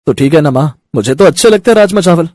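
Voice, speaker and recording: A man's voice: a little high, animated, fast, darkish tone, no echo, studio quality. A man voicing an easygoing, supportive young husband and son in a cartoon story. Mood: cheerful. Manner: chatty, agreeable. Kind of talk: Hindi cartoon moral story.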